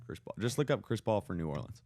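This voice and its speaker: A young man with a low-pitched voice talks very quickly in casual podcast banter about sports. He is blunt and opinionated, and the recording is dry, with little room sound. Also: sing-song, a little mellow, no room sound. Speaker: podcast co-host. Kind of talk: casual sports chat among friends.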